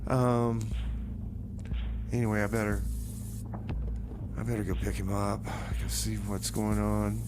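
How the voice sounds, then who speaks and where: A man hosting a music radio show, rambling casually in a folksy, halting way full of exclamations. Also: fairly low pitch, flat delivery, noisy background. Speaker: radio host.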